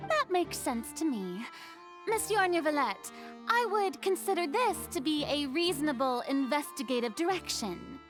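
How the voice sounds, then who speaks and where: An actress playing a formal, theatrical young woman who questions people deliberately in a video game courtroom drama. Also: high voice, big pitch swings, over music. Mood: measured, probing.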